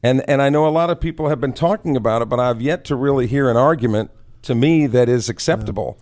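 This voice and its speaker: Measured man talking sports on radio. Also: mid-range voice, a little mellow. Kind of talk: casual sports radio chat.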